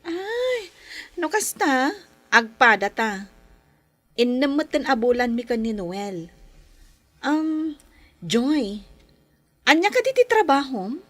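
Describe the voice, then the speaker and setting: A woman's voice: high-pitched, sing-song, slow, long pauses, dry recording, exaggerated voice. An actress playing a proud, self-centred young working woman in a dramatic Filipino radio drama, with a Filipino accent. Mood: chatty.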